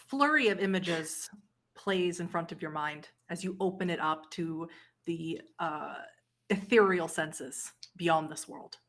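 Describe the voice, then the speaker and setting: A woman speaking as game master, narrating a tabletop horror roleplay game in a measured, descriptive way. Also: on the low side, a bit bright.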